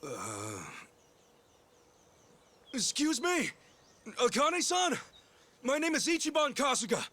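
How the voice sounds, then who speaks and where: An actor playing a hot-blooded, earnest, good-hearted video game hero with a blunt, expressive delivery. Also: male voice, very high-pitched, slow pace, pauses often, slight background noise, heavily acted. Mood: polite, hesitant. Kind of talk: cinematic video game acting.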